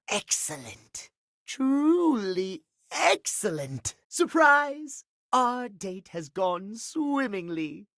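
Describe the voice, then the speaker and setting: A man's voice: high-pitched, a bit bright, no echo, clean studio sound, heavily acted. A male actor voicing a fussy, romantic timepiece in a video game, with formal, theatrical, precise diction. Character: fussy, prim, schedule-obsessed male timepiece, romantic dandy. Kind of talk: video game character acting.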